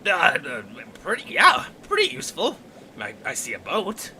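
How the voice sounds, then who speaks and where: Man as meek creature sidekick, bright gravelly voice, nervous, halting, stammering, slight hiss behind, audio story. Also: high voice, wildly swooping pitch, exaggerated voice. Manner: hesitant, stammering.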